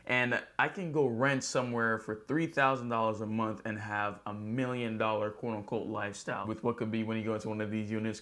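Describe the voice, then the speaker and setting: A man with a mid-pitched voice presents a local news recap as a vlog host. He talks like an upbeat real estate agent, chatty and conversational. He addresses his viewers directly. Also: a bit bright.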